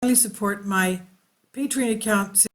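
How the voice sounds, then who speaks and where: A host reading space weather news aloud in a halting way, stumbling over some words. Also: medium pitch, a bit bright. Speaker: video channel host.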